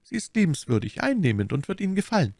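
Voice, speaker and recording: A man voicing a gentle, curious abbess in an audiobook, speaking politely and formally with solicitous care. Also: a little high, big pitch swings, quick pace, creaky, no room sound, clean studio sound, pitched above the performer's own voice, exaggerated voice. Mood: reassuring. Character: abbess, gentle, curious, sympathetic pious woman. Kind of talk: audiobook character dialogue.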